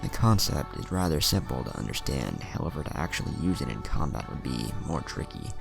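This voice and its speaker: A man voicing a calm, analytical ninja teacher in an anime fan story, explaining things in a measured way. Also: extremely low pitch, creaky, music in the background, exaggerated voice.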